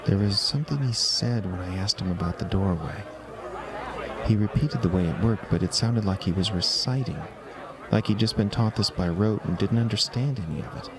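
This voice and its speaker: A man acting in an audio drama as an anguished man caught between realities, speaking haltingly and urgently as he questions himself. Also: fairly low pitch, a crowd in the background, close to an everyday voice. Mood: earnest, uneasy. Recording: loud music under the voice. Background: loud music.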